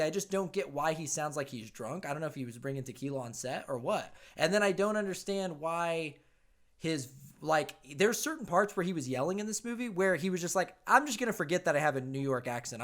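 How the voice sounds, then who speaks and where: A man with a slightly high voice co-hosts a casual film podcast. He talks in an animated, opinionated way and swears freely as he makes his case. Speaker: podcast co-host. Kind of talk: casual movie review chat.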